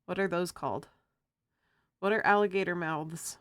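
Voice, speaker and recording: A feminine-voiced streamer chatting casually while drawing, giggling often and cracking silly jokes. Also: female voice, fairly low pitch, moderate pace, fairly bright tone, no room sound, studio quality. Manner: giggly, jokey, laughs often.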